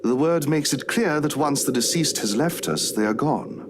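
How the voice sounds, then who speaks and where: An actor playing a courteous, youthful priest in a visual novel, speaking formally and gently preaching. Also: male voice, a little high, expressive, moderate pace, background noise, natural voice. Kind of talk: scripted visual novel voice acting.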